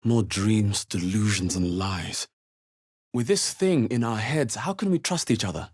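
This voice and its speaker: Wry, husky fantasy adventurer. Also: male voice, on the low side, unhurried, a little mellow. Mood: serious, resolute. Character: male fantasy adventurer hero, wry, cool-headed, suspicious. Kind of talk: video game voice lines.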